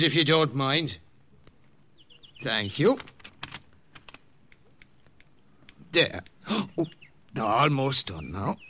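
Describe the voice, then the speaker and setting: An actor playing a kindly, paternal missionary priest, halting and avuncular, in old radio drama style. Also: male voice, medium pitch, pushed and bright, full character voice. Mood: genial, fond. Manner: halting, avuncular, with little hesitations. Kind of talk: 1940s-50s radio drama acting.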